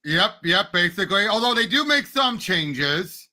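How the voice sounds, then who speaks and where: A man co-hosting a casual movie discussion, speaking in a halting way and often repeating himself. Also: high voice, big pitch swings, sharp tone. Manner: halting, repetitive phrasing. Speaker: podcast co-host.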